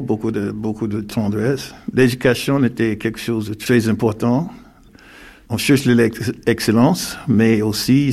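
Older American man, low, gravelly and husky, halting reflective interviewee. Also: darkish tone. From United States, North Carolina. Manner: halting, with many fillers and restarts. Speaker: interviewee, retired community mediator.

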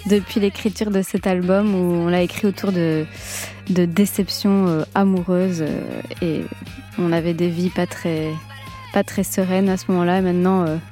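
A young woman singer, a radio interview guest, answering in a relaxed, hesitant way. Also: on the low side, a little slow, soft background music.

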